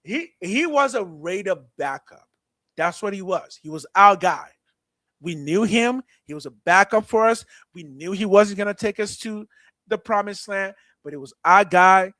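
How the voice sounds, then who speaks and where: A man's voice: sits high, animated, normal speed, pushed and bright, no room sound. A man in his thirties with a mixed Nigerian and American accent, talking sports casually and playfully, often stumbling over words.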